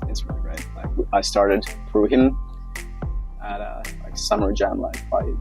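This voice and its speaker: A man's voice: on the low side, fairly even intonation, measured pace, vocal fry, darkish tone, rough recording. Young man chatting, music behind. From Finland. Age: 20s. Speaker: interviewee, acroyoga practitioner. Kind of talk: casual interview.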